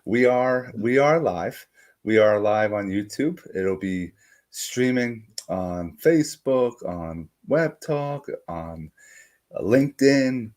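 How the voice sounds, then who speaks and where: Enthusiastic low-voiced male podcast host. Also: slow pace. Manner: energetic, enthusiastic, addresses viewers directly. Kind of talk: upbeat show introduction and interviewing.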